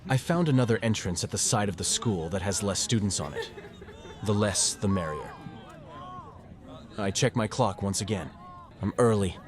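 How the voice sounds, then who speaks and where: A man voicing a weary, cynical and awkward high-school student who narrates his own visual novel story in a glum, sardonic way. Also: animated, normal speed, pauses often, a crowd in the background, natural voice. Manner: glum, sardonic, self-deprecating. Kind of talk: first-person scripted visual novel narration. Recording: music under the voice.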